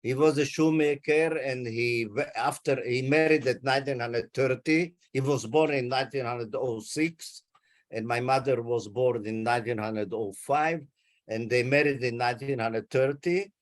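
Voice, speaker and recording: A man's voice: medium pitch, moderate pace. A man in his eighties from Hungary, a native Hungarian speaker, carefully recounting his family history in an interview over a video call. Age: 80s. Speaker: interviewee, Holocaust survivor.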